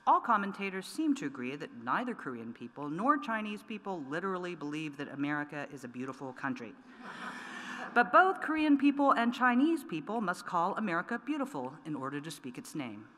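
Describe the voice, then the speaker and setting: A middle-aged woman poet reading her work aloud at a lectern microphone, measured and deliberate with pointed emphasis. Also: fairly low pitch, sing-song, a bit bright. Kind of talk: poetry reading. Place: large wood-paneled auditorium, at a lectern. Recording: long reverb of a large hall.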